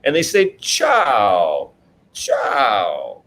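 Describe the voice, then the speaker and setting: Expressive man reading to children, swooping pitch. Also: extremely slow, slight background noise. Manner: expressive, simple explanatory asides to young listeners. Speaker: author reading own children's book. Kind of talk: read-aloud storytelling for children, interactive.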